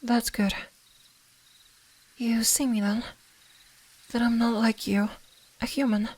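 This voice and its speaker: Woman as a slow, soft-spoken gentle fox spirit. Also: fairly high pitch, little pitch movement. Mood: gentle, slightly shy. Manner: soft-spoken, soothing, hesitant, at times whispering. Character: gentle, curious female kitsune fox spirit guarding woods. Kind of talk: ASMR roleplay.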